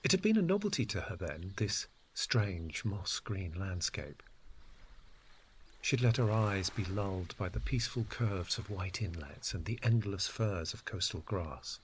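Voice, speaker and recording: A man reading a literary story in an expressive, measured, dramatic style. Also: low-pitched, a little hiss or hum. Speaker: narrator. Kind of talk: dramatic literary story narration, acting out dialogue.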